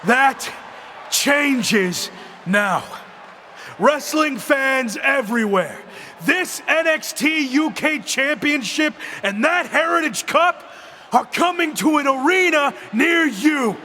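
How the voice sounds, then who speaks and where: High-voiced cocky wrestler, boastful, bright, slow emphatic promo. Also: male voice, animated, slight background noise, heavily acted. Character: cocky young male wrestler making a comeback. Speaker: wrestler giving a ring promo. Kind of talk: game cutscene dialogue.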